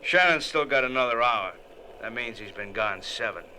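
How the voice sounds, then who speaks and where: A man's voice: mid-range voice, lively intonation, moderate pace, sharp tone, a little hiss or hum. An actor playing a cocky, menacing smuggler with a gun, taunting in tough-guy slang in an old radio adventure. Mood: teasing.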